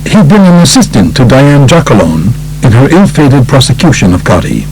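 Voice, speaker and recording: An older man with a gravelly voice reads a true crime book as a scripted audiobook narrator. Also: medium pitch, wildly swooping pitch, moderate pace, darkish tone, slight background noise, poor audio.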